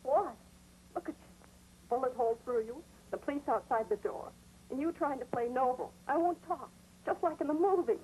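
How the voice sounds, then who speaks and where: A worried American wife acted by a woman in a fifties TV crime drama, pleading in a fairly high voice. The old soundtrack carries faint noise. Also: moderate pace, mellow, natural voice. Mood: distressed, reproachful. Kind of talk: 1950s television crime drama acting. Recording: noisy vintage 1950s TV soundtrack.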